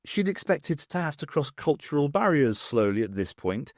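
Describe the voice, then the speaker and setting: A man narrating an audiobook in a measured, unhurried storytelling manner, close to the mic. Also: on the high side, sing-song, no room sound.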